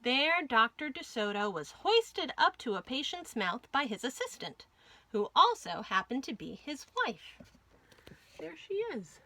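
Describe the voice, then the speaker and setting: A woman reads a picture book aloud as a storytime host for children. Her voice is high and bright, and she phrases slowly with careful emphasis for little listeners. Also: big pitch swings.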